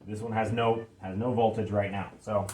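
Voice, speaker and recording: A man's voice: on the low side, level tone, moderate pace, a little mellow, a little hiss or hum. A man hosting a casual DIY garage vlog, talking informally through a hands-on job as he works. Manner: informal, talks while working. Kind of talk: casual hands-on how-to explanation.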